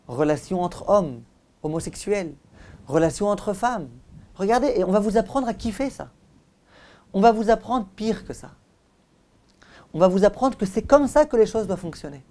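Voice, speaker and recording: A man's voice: high-pitched, a little mellow. A man giving a religious lecture as a rabbi, speaking in an emphatic, didactic way with repeated phrases and direct questions to his listeners. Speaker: rabbi giving a Torah lesson.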